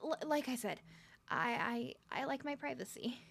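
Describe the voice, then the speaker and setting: A woman's voice: high voice, normal speed, creaky, a bit bright, heavily acted. A woman playing a nervous, smitten young woman on a first date in an ASMR roleplay, stuttering and rambling with flustered giggles. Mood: shy, earnest.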